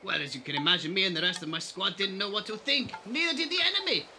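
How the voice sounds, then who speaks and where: A jovial baron who brags and banters his way through an audio drama. His voice is very high and piercingly bright, with quirky foreign-style broken phrasing. A faint noise sits behind him. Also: animated, fast talker, heavily acted. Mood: jovial, storytelling. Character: jovial, boastful male baron running a beach paradise.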